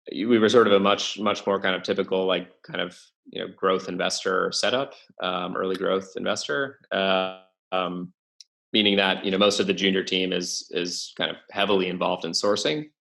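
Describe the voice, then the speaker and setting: Young male guest, low and gravelly, halting with lots of ums. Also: steady tone, a bit bright. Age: young adult. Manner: hesitant, many fillers and restarts. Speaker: podcast guest, venture investor. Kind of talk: casual interview talk.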